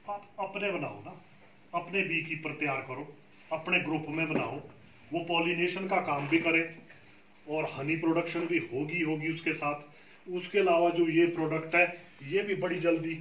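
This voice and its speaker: Man, patient trainer explaining to farmers; slightly high voice, distant and roomy with faint background noise. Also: a bit bright. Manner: patient, explanatory, often checks with "ठीक है जी". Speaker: beekeeping trainer. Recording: echoey room, far from the mic.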